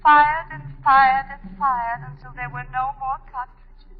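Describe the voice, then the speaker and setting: A tormented, refined wife in a 1940s radio melodrama. Her voice sits high and is intensely theatrical, heard through a dull, band-limited recording with faint music. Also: steady tone, measured pace. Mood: anguished confession. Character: tormented unfaithful wife confessing murder, refined and guilt-ridden. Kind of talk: 1940s radio drama acting.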